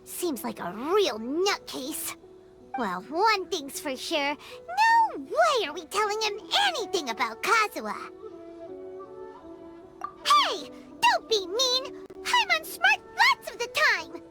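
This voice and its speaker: A woman voicing a small, excitable female fairy companion in a game, with a very high, squeaky voice. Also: slow pace, exaggerated voice. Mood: indignant. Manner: excitable, indignant, chatty. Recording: game audio with loud music behind. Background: game music.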